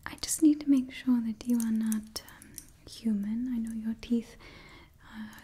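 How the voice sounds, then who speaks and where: A woman in her twenties whispering an ASMR roleplay close to the microphone, breathy and repeating her phrases. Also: on the high side, steady tone, measured pace, warm tone. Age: 20s. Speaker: ASMR performer playing a monster party door checker. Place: small indoor setup before a brick backdrop. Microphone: close microphone, face near camera.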